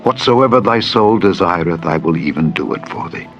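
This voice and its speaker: A man voicing a loyal, solemn prince and devoted friend in a dramatized scripture reading, with measured archaic oaths. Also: low voice, animated. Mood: loyal, firm. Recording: dull lo-fi audio with music. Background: background music.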